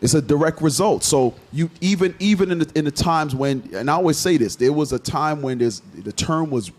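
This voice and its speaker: A man's voice: fairly high pitch, brisk, darkish tone. A man hosting a radio talk show, lecturing in an assertive, preachy way during a casual group discussion.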